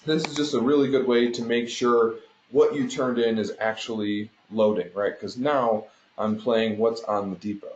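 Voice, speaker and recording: The voice comes through as slightly muffled conference call audio in a roomy space. It is a man with a medium-pitched voice, an instructor guiding students through a screen share. He explains things step by step in an informal way and checks in as he goes. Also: steady tone, normal speed, few pauses, a little mellow. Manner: explanatory, often checking with "right?". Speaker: instructor teaching an online game design class. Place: indoor room, online conference call.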